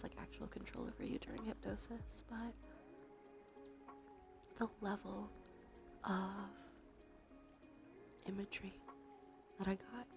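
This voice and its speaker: A woman doing gentle, unhurried ASMR in a breathy whisper and soft murmur, her nose slightly stuffy. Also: mid-range voice. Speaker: ASMR streamer, host. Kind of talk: ASMR, soft intimate role play and rambling. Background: soft music.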